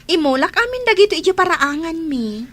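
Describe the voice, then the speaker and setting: A woman's voice: very high voice, very animated, brisk, a bit bright, exaggerated voice. A woman playing a coy, polite young single Filipino woman being courted in a radio drama. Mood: coy, surprised.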